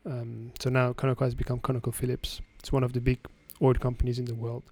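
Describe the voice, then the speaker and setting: A man from France, a guest analyst giving explanatory interview answers, halting with frequent restarts. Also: medium pitch, completely flat delivery, a little mellow, no echo.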